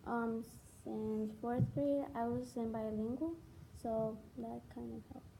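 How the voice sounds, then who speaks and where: A woman's voice: a little high, steady tone, takes it slowly, gravelly, warm tone, a little hiss or hum, rough recording. Hesitant girl. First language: Spanish. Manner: hesitant, searching for words. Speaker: student interviewee. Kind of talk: school interview.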